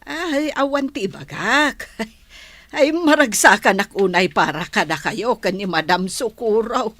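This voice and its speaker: Woman playing an anxious, slow-spoken household helper. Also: mid-range voice, a bit bright. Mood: nervous, deferential. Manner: hesitant, halting. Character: anxious, deferential household helper guarding a guilty secret. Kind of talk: radio drama acting.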